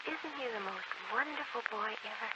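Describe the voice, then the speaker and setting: A woman playing a frail, gentle, adoring invalid sister in 1940s radio acting. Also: fairly high pitch, normal speed, lightly acted. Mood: wistful. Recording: noisy, muffled 1940s radio recording.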